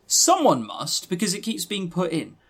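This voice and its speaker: A man with a slightly high voice and very lively intonation. He chats casually as a podcast co-host reviewing games, sarcastic and prone to swearing. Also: normal speed, slightly rough. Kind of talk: casual chat reviewing visual novels.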